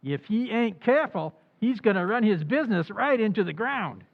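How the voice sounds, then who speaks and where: A man doing a deadpan sarcastic waiter. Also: high-pitched, moderate pace, long reverb tail, phone-quality sound. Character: dry sarcastic male waiter. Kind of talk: 1940s radio acting.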